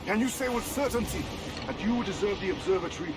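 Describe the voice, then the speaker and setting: High-voiced, wary pirate quartermaster. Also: male voice, lively intonation, fast talker. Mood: urgent, earnest. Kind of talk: video game cutscene acting. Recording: very loud music under voice.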